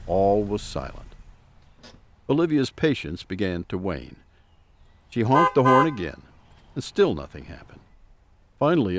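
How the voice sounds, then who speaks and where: Dramatic male narrator, animated delivery. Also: lowish voice, very noisy. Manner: suspenseful storytelling with dramatic emphasis. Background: music soundtrack.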